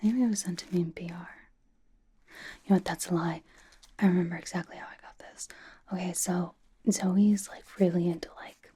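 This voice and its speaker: A woman voicing a blunt but cozy young female pop star in a breathy, casual, halting ASMR whisper, doting on the listener. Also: fairly low pitch, mellow, a little hiss or hum, lightly acted. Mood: wry, casual. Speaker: ASMR roleplay performer. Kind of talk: whispered ASMR personal-attention roleplay.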